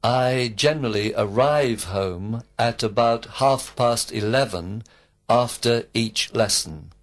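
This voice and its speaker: A man giving slow, complete textbook answers as a model student in a recorded English lesson. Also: medium pitch. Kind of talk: scripted full-sentence answers.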